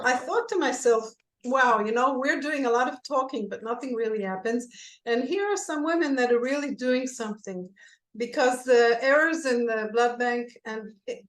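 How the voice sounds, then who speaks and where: A woman's voice: fairly high pitch, roomy sound, low-quality recording. A woman from Israel who speaks natively, a hospital blood bank physician answering interview questions in an earnest, hesitant way with many fillers.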